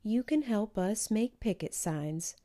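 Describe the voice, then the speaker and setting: A woman's voice: mid-range voice, animated, takes it slowly, mellow, pitched above the performer's own voice. A woman voicing a reassuring, determined teenage big sister in a children's storybook, firm but kindly.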